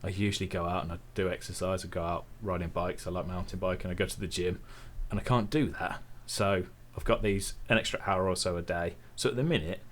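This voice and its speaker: A young man from the English Midlands talks in a low, deep voice, with his regional accent clear in every phrase. He is laid-back and chatty, poking gentle fun at himself as he answers questions in a relaxed interview. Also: fast talker, hardly any pauses, a little hiss or hum. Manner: relaxed, chatty, self-deprecating. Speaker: tech YouTuber guest. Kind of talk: casual interview chat.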